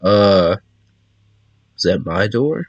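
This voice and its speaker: A man with a fairly low voice plays through a game, reading its text aloud and commenting casually. He slips in wry asides. The sound is close and dry, with little room in it. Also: animated, drawn-out words, slightly rough, dry recording, highs cut off. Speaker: gaming channel host.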